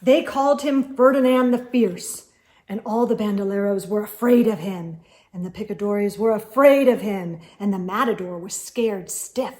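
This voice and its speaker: A woman's voice: medium pitch, normal speed, darkish tone. A woman reading a children's storybook aloud as host, expressively and with emphasis, speaking directly to young listeners.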